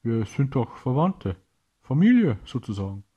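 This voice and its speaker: Man playing a stammering, frightened king, pitched up. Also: very animated, moderate pace, breathy edge, dull audio. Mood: fearful, pleading. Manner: stammering, pleading. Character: frightened, pleading king in a children's pirate tale.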